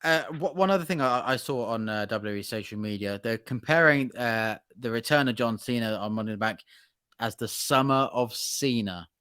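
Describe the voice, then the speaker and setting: A man hosting a wrestling news podcast, talking in a rambling, halting way with plenty of fillers. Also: medium pitch, sharp tone, smooth, dry recording, studio quality. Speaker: podcast host. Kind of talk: casual news chat.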